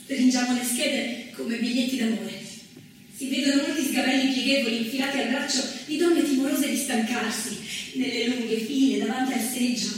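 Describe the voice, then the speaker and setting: An actress playing a solemn chronicler of women's history, with measured, declamatory theatrical delivery. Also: female voice, a little high, a little hiss or hum, lightly acted. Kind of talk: scripted theatrical narration. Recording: very echoey stage, distant mic, music behind.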